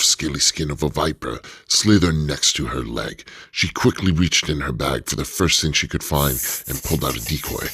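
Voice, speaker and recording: A man narrating an adventure radio drama in a measured storytelling manner. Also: extremely low pitch, a bit bright, breathy edge.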